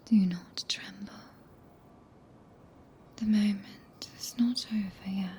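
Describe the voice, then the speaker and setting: A woman with a British accent playing a tender, possessive, regal witch in a soft breathy ASMR whisper, with archaic, stately phrasing. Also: mid-range voice, fairly even intonation, slow pace, a little hiss or hum, natural voice. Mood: tender, commanding. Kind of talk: ASMR roleplay. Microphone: close binaural microphone.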